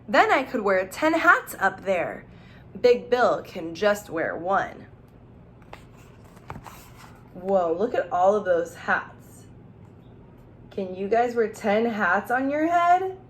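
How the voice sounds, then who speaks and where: Woman, storytime teacher, lively swooping pitch, slow pace, expressive, questioning asides to kids, faint background noise. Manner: expressive, questioning, addresses young listeners directly. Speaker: teacher reading aloud to children. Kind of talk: children's storybook read-aloud with asides.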